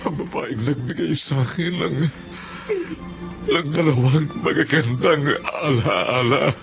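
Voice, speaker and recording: Grieving, gentle husband. Radio drama, slow emotive delivery, dull lo-fi recording. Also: male voice, on the high side, creaky. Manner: solemn, measured, emotive. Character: forgiving, grieving husband and father, solemn and gentle. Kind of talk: Filipino radio drama acting. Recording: dull lo-fi audio with music.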